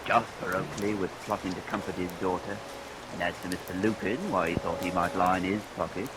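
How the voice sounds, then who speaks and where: A man's voice: sits low, flat delivery, brisk, loud music behind the voice, natural voice, low-quality recording. A man playing a wry storytelling narrator of a lurid vintage radio melodrama. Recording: vintage radio recording, loud rain noise.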